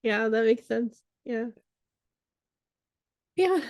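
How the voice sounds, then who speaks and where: A woman's voice: on the high side, lively intonation, very slow, a little mellow, dry recording. A woman in her forties co-hosting a podcast, chatting casually with frequent laughs and restarts. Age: 40s. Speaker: podcast co-host. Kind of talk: casual chat.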